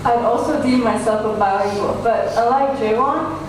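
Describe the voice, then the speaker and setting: A woman's voice: on the high side, normal speed. A young woman who is a student speaker, giving a rehearsed presentation to an audience. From household speaking English and Hindi. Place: large echoey indoor event hall. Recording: very echoey hall, distant, noisy.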